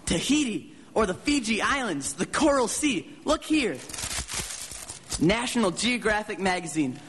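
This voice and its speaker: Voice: mid-range voice, very animated, a little slow, fairly bright tone. A performer voicing an eager, adventurous twelve-year-old boy in a radio drama, chatty and earnest. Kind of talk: live radio drama acting. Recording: reverberant stage hall, background noise.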